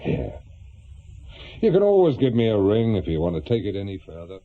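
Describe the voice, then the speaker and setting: Very animated English private investigator. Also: male voice, fairly low pitch, brisk, narrow, tinny audio. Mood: calming. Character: measured, cautious, seasoned male private investigator. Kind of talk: naturalistic British radio drama dialogue.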